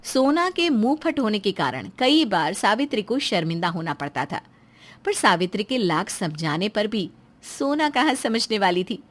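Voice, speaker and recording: A woman's voice: mid-range voice, big pitch swings, moderate pace. A woman narrates a moral story. She reads scripted lines as the storyteller, setting out the scenes between the dialogue.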